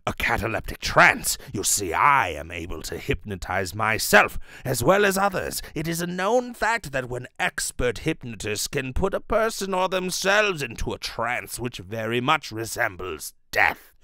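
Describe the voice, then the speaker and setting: A man voicing a formal, deliberate, menacing criminal mastermind in an old-style radio play. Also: mid-range voice, very animated, bright, forceful tone, dry recording, studio quality. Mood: smooth menace. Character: suave menacing Egyptian criminal mastermind and hypnotist. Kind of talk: old radio serial acting.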